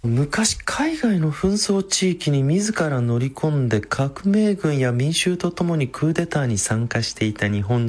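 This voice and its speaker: A man's voice: medium pitch, close to an everyday voice. A man playing a flamboyant, vain, effeminate villain in a radio drama, with sassy feminine speech. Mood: taken aback. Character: flamboyant, vain, effeminate self-styled great beauty villain.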